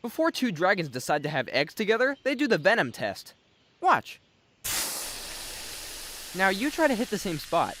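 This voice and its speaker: A man's voice: high-pitched, huge pitch swings, normal speed, long pauses, fairly bright tone, a little hiss or hum, full character voice. A man playing a carefree young male dragon in a fantasy dub, a friendly, enthusiastic big brother. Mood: breezy, reassuring. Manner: breezy, enthusiastic. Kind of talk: dubbed fantasy drama acting.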